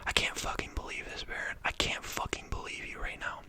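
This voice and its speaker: A whispering narrator voicing a hot-tempered young male survivor in a soft, unvoiced whisper. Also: brisk, lightly acted. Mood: angry. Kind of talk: whispered ASMR story reading.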